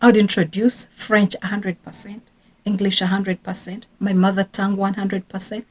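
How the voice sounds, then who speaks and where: Woman in her thirties, parenting coach and teacher, earnest and confiding advice to camera, middle pitch. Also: little pitch movement, moderate pace, darkish tone. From Rwanda. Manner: earnest, confiding, admits her own regrets. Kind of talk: casual advice talk to camera.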